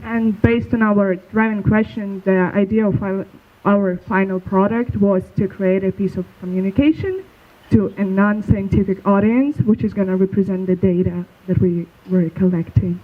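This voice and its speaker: A young man from Belarus presenting a school project in a casual, slightly halting way. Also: sits high, steady tone. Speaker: student presenter. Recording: tinny phone-like sound.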